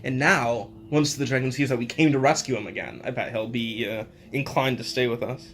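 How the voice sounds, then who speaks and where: A man chatting casually and joking as a player in a tabletop game, brash and quick to swear. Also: medium pitch, a bit bright. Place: in-person game session indoors. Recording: background music under the voice. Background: background music.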